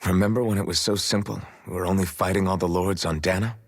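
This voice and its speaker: A man playing a weary, gentle, reflective swordsman hero with a husky voice in a video game cutscene. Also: low voice, steady tone, fast talker, no echo, studio quality. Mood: pensive, nostalgic.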